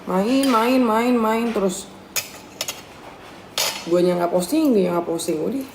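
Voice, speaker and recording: A young woman in relaxed, slangy casual chat, agreeing as she eats. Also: medium pitch, takes it slowly. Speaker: live stream co-host. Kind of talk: casual chat while eating. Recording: echoey room with faint noise. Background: clinking cutlery and dishes.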